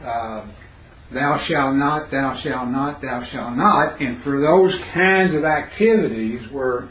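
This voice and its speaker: A man with a raspy, hoarse voice teaching a Bible class, pausing to quiz his students. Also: mid-range voice, fairly bright tone. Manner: halting, questioning teacher who pauses to quiz the class. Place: classroom, indoors. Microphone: recorder set near the speaker. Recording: roomy classroom recording, faint noise.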